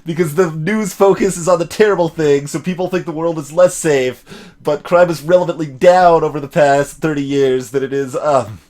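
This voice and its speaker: A man's voice: on the high side, normal speed. A man hosting a video game let's play, mixing acted dialogue with joking, digressive asides. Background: soft game music.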